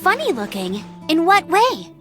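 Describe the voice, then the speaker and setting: A woman's voice: very high-pitched, huge pitch swings, measured pace, full character voice. A woman playing a spirited young female scout in an anime-style video game, keen, observant and purposeful. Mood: inquiring. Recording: music behind the voice.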